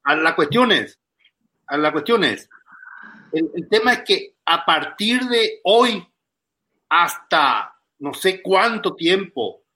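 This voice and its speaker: Bright, high-pitched man. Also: rough recording. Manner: halting, repeats words as he thinks. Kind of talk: casual panel discussion.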